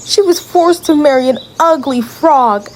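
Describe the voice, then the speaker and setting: A woman's voice: high voice, measured pace, slight background noise. A woman narrating a fairy tale in a casual, chatty way with playful asides. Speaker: storyteller.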